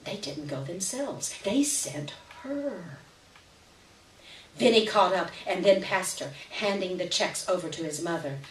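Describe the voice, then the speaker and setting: A woman's voice: on the low side, animated, a little slow. A woman giving a live dramatic reading of literary fiction as the story's narrator. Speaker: story reader. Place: live reading event before an audience. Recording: roomy, distant, hissy live recording.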